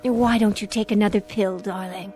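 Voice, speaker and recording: An actress playing an anxious, devoted wife in a radio drama, genteel, careful and imploring. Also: female voice, medium pitch, steady tone, normal speed, warm tone, faint music behind, natural voice. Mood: concerned.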